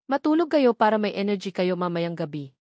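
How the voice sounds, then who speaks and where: A woman's voice: mid-range voice, big pitch swings, brisk, warm tone, no echo, studio quality, lightly acted. A woman with a Filipino accent playing a cheerful, eager old grandfather in an audiobook, genial and teasing.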